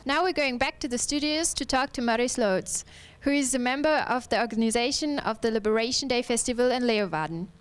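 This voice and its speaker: A young woman reports to camera outdoors, speaking into a handheld mic. She speaks English in a slightly high voice and a clear, even delivery, with faint outdoor ambience around her. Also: brisk, a little hiss or hum. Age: young adult. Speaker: field reporter. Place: outdoors on grassy hill.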